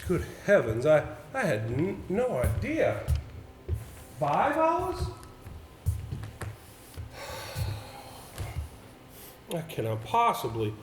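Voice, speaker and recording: Earnest, hesitant gentleman acted by a man, echoey. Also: high voice, heavily acted. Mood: dismayed, anguished. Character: earnest, troubled gentleman, an untrained nervous reader. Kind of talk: amateur stage play acting. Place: indoor theatre stage. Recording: roomy stage recording with faint noise.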